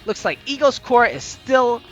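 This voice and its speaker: A man's voice: very high-pitched, measured pace, lo-fi sound. A man hosting a let's play, reading a visual novel story aloud in a theatrical, dramatic way. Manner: theatrical, animated delivery. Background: game music.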